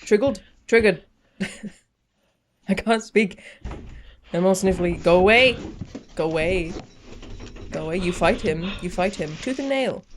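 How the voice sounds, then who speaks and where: A woman's voice: medium pitch, takes it slowly, pauses often, a little hiss or hum. A woman reacting casually as a video host, with a playful, jokey, slangy way of talking.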